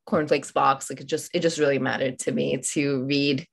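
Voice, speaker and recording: A woman's voice: very deep voice, fairly even intonation, normal speed, gravelly. A young woman author who grew up in Oman, Malayalam her first language, talking thoughtfully in an interview with many fillers and restarts. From India, raised in Oman. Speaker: author guest. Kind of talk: podcast interview.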